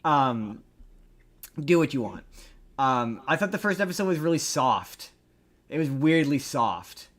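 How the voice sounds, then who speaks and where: A man's voice: medium pitch, big pitch swings, unhurried, pauses often, fairly bright tone. A man co-hosting a podcast, chatting casually and critically about pop culture, sweary and given to mocking imitations. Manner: sweary, opinionated, mimics others mockingly. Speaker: podcast co-host.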